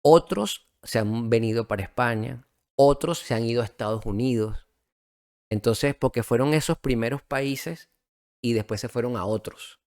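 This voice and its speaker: A middle-aged man with a Venezuelan accent, telling his story as a guest in a casual interview, relaxed and reflective, with many fillers and restarts. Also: mid-range voice, normal speed, dry recording, clean studio sound.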